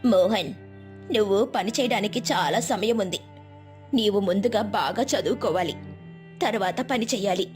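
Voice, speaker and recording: A woman's voice: mid-range voice, moderate pace, pauses often, darkish tone, soft background music, close to an everyday voice. A woman voicing a poor, hardworking village mother, tired and loving, in a moral storybook tale. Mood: loving, determined.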